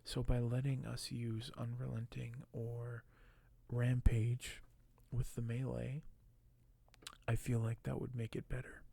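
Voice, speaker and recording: A man speaking softly and gently for an ASMR video, sometimes close to a whisper, with a halting delivery as host. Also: mid-range voice, completely flat delivery, vocal fry, breathy edge. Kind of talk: soft-spoken ASMR, partly whispered; reading aloud and commenting on collectibles.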